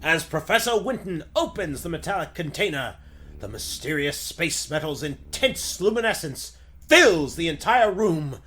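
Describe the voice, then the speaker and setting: Man doing a bright, dramatic radio narrator. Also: a little high, sing-song, moderate pace. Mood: urgent, dramatic. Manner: urgent, theatrical. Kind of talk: 1940s radio announcer narration.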